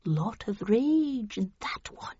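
A woman's voice: on the high side, sing-song, a little slow, highs cut off, a little hiss or hum, pitched above the performer's own voice. A woman voicing a prim, weary but encouraging female counsellor in a story. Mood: confiding.

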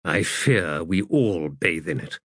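Guy doing a sombre polite commander, measured, slow, lowish voice, audiobook. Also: animated, a bit bright, no echo, clean studio sound, exaggerated voice. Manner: measured, grave. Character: courteous, sombre male army commander.

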